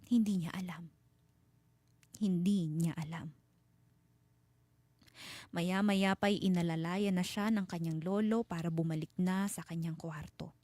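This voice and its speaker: A woman storyteller giving a dramatic scripted horror narration with suspenseful, deliberate phrasing. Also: lowish voice, steady tone, dark tone, no room sound.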